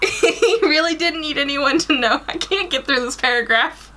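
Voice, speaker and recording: A young woman in her twenties reading fan fiction aloud in an animated way, stumbling over the odd sentence. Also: high-pitched, moderate pace. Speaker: guest story reader. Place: small attic bedroom.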